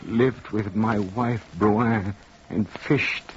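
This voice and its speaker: A man's voice: lowish voice, steady tone. An actor playing a remorseful, lonely old fisherman hermit who narrates his confession solemnly in an old radio drama. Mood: solemn, regretful. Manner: slow-phrased, solemn, confessional. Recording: noisy muffled old radio recording.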